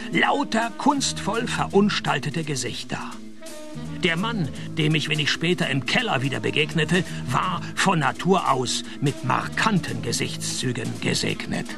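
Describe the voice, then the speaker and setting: Radio detective, sardonic, deadpan, creaky fry, highish male voice. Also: a little slow, music in the background. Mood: dry, sardonic. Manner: dry, deadpan sarcasm. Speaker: narrator and lead actor. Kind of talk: radio play detective narration and dialogue.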